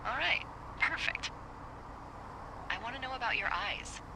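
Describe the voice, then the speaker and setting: A woman's voice: a little high, lively intonation, unhurried. A woman playing a wry, teasing fire lookout supervisor in a video game, talking playfully over a walkie-talkie. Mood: flirty, teasing. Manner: playful, sardonic. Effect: walkie-talkie radio. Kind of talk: naturalistic video game voice acting. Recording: radio-filtered voice with clear background noise.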